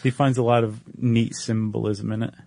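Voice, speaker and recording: A man's voice: mid-range voice, little pitch movement, moderate pace, vocal fry, a little mellow, no echo. An American man talking casually as a podcast co-host. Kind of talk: casual conversational podcast talk.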